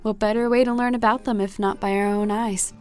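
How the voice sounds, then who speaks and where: A woman's voice: mid-range voice, steady tone, a little fast, faint music behind, natural voice. A woman in a fan dub playing a polite, reserved young court counselor with an understated, wry manner. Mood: polite, hesitant.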